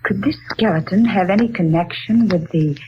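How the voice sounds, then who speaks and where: A woman's voice: mid-range voice, moderate pace, lightly acted. An actress playing an anxious, curious, refined young lady in a 1940s radio drama, hesitant and dramatic. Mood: anxious wonder. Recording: muffled, noisy 1940s radio recording.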